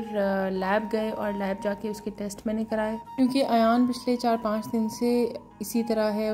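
A woman in her thirties tells her day in a relaxed voice-over, pausing often with soft fillers. Her tone is warm and dark, with very little rise and fall. A faint music bed plays beneath her. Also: medium pitch. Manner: calm, unhurried, frequent fillers, mixes in English words. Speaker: vlogger, mother.

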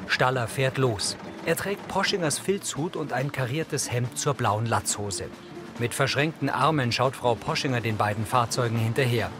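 Matter-of-fact male narrator over music. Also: mid-range voice. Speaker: audio description narrator. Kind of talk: scripted narration, describing scenes.

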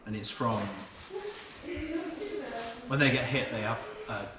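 A man's voice: mid-range voice, fairly even intonation, takes it slowly. English man explaining in a crowded echoey space. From England. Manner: patient, informative, with filler "um"s. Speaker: sea turtle rescue centre worker. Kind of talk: explaining to a visitor on a tour. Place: turtle rescue centre with tanks. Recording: very echoey, loud crowd behind.

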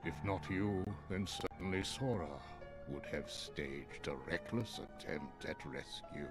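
An actor voicing a stern, wise old wizard master in a video game, speaking formally and gravely in measured phrases. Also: male voice, deep voice, expressive, loud music behind the voice. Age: older. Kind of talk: video game cutscene acting.

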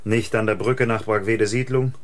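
An actor playing a probing, businesslike police lieutenant in a German radio crime drama. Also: male voice, fairly low pitch, steady tone, normal speed, roomy sound, natural voice. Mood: stern.

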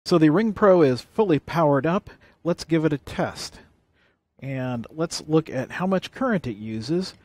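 A man's voice: fairly high pitch, animated, a little slow, a little mellow, no room sound. Man giving a dry, close-sounding tutorial. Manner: plain-spoken, explanatory, step by step. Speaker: presenter of an electronics how-to. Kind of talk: hands-on tutorial demonstration.

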